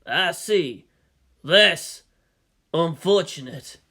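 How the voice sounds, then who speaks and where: A narrator playing a weary male army general, formal and measured, who reasons and pleads firmly. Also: medium pitch, lively intonation, sharp tone, full character voice. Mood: awkward, official. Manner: measured, official, placating.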